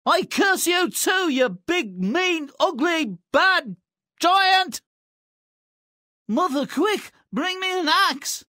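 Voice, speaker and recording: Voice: very high-pitched, very animated, drawn-out words, bright, forceful tone, dry recording, clean studio sound, full character voice. An actor voicing a hungry, dim, lazy peasant boy with a whining, nonchalant manner in a cartoonish game. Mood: defiant. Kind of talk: cartoonish video game acting.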